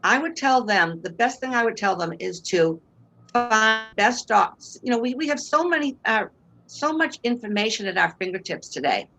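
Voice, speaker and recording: A woman's voice: a little high, lively intonation, moderate pace, vocal fry, a bit bright, faint music behind, poor audio. A woman in her sixties with a Boston accent, a guest on a video call telling her story with earnest faith. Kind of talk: personal testimony in interview. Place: home room indoors. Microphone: video call webcam.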